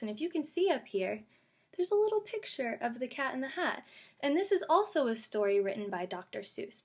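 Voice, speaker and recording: A woman kindergarten teacher giving a read-aloud lesson, speaking simply and instructively and addressing young children directly. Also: a little high. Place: bedroom at home.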